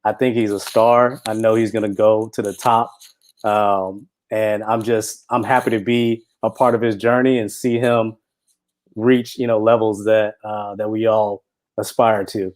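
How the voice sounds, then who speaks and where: A man with a fairly low voice hosts a live film discussion. He talks informally and haltingly, often searching for the right words as he makes his introductions. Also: level tone, darkish tone. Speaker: film director and event host.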